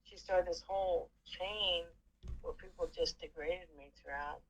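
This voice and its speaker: A middle-aged aunt chatting with her nephew, telling a story in an animated, indignant way. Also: fairly low pitch, a little slow, poor audio. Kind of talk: casual phone chat.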